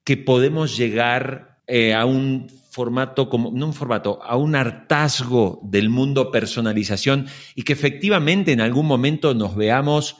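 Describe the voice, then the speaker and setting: A man hosts a podcast interview. His pitch is average, and he speaks in a measured, conversational manner, setting things out step by step.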